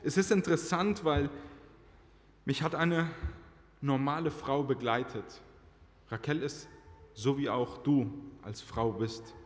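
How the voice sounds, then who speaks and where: Earnest preacher man in echoing church. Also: medium pitch. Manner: earnest, slows down to stress key phrases. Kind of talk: personal testimony sermon. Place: church sanctuary, large indoor hall. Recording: reverberant church hall.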